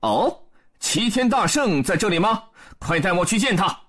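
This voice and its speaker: Storybook warrior deity voiced by a man: courteous yet commanding, declamatory, animated, slightly rough tone. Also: high-pitched, normal speed, voice pushed up. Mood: eager. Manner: declamatory, formal. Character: Erlang, proud, righteous, courteous male warrior god.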